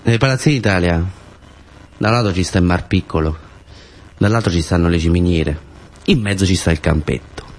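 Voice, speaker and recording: A man's voice: deep voice, normal speed, long pauses. Southern Italian man telling a story. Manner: repetitive, conversational asides. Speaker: storyteller and stage actor. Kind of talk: theatrical monologue narration, colloquial.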